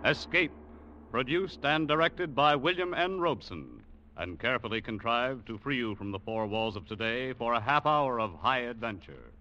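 A man's voice: mid-range voice, lively intonation, normal speed, natural voice. A man performing as a dramatic announcer of a 1940s radio adventure show. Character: dramatic male announcer introducing high adventure. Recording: very noisy, muffled old radio recording.

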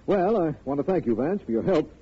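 An American man playing a courteous, earnest, slightly puzzled law official in a measured 1940s radio drama style. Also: on the high side, lively intonation, close to an everyday voice. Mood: satisfied. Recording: dull old radio recording, faint noise.

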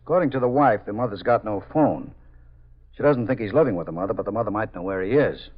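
A man with an American accent playing a brisk, efficient desk lieutenant, clipped and businesslike, in a 1950s radio drama. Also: on the low side, expressive. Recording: muffled 1950s radio recording.

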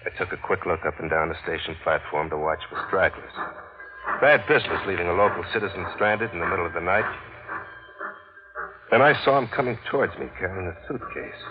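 A man's voice: low voice, fairly quick, over music, natural voice. An actor playing a plain-spoken working-class cab driver and family man in a 1940s radio drama. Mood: obliging, businesslike. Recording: muffled old radio broadcast.

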